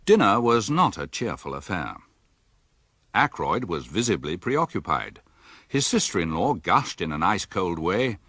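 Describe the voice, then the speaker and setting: An actor playing a mild village doctor in a radio drama, speaking and narrating in a measured, matter-of-fact way. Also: male voice, low voice, big pitch swings, a bit bright, no room sound, heavily acted. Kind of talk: radio drama dialogue and first-person narration.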